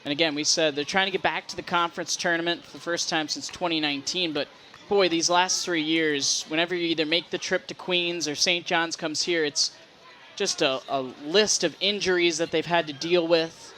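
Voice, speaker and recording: A man's voice: a little high, steady tone, moderate pace, bright, forceful tone. A man giving conversational analysis as a color commentator during a live baseball broadcast. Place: outdoor baseball ballpark. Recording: faint ballpark background noise.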